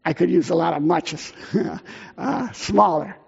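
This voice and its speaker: A middle-aged man raised in Italy with Italian as his first language, speaking earnestly to a church as a missionary, halting often on fillers. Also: on the high side, lively intonation, creaky, a little mellow, husky, muffled recording.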